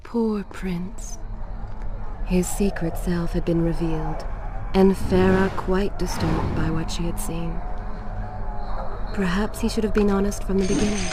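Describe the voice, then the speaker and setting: An actress playing a solemn, knowing storyteller who narrates a legend in a video game, measured and hushed. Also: female voice, fairly low pitch, noisy background.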